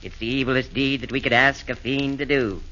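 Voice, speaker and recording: A man's voice: mid-range voice, brisk, pushed and bright, slight background noise, natural voice. A man playing a pompous chairman of fiends. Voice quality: pompous, sing-song rhyming. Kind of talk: 1940s radio acting. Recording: muffled 1940s radio broadcast.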